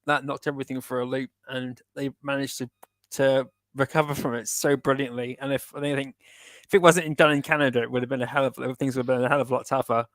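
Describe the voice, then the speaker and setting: Halting British man co-hosting a podcast. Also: mid-range voice, flat delivery, fairly bright tone, no room sound. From United Kingdom. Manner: halting, many restarts and repeated words. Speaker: podcast co-host. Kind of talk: casual chat.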